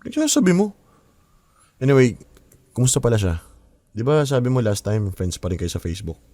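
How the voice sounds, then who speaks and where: A man's voice: medium pitch, very animated, fairly quick, mellow, no echo, natural voice. An actor playing a curious, pushy young man in a radio drama, with an inquisitive, pressing way of talking. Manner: inquisitive, pressing, casual Taglish.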